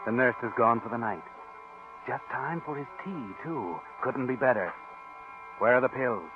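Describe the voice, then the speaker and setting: An actor playing a sinister, sardonic narrator who taunts a schemer in a 1940s radio thriller. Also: male voice, medium pitch, normal speed, lightly acted. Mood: scheming, urgent. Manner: sardonic, insinuating. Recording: tinny old radio recording with music.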